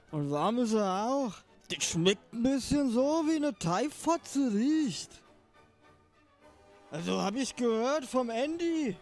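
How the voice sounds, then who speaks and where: Drunken lout of a son, high voice, slow delivery, rambling, parody audio play. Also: male voice, full character voice. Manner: crude, rambling, stumbling over words. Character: drunken, boorish grown son, slurring, sometimes pompously poetic. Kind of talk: parody audio drama acting.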